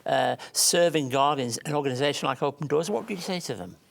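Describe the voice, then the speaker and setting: A man hosts a talk show, his voice a touch high and clearly gravelly. He asks his questions a little hesitantly and fills the pauses with murmurs of agreement. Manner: hesitant, with frequent backchannel murmurs. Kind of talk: interviewing a guest.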